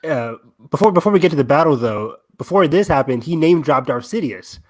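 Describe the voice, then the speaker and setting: A man's voice: on the high side, lively intonation, a little fast. A man hosting a casual fan discussion podcast, chatty and enthusiastic, readily agreeing with others. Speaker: podcast host.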